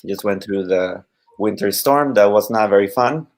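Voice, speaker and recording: A Costa Rican man in his thirties talks about teaching Spanish on a casual live stream. His voice sits fairly low. He speaks haltingly, with plenty of fillers and restarts. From Costa Rica.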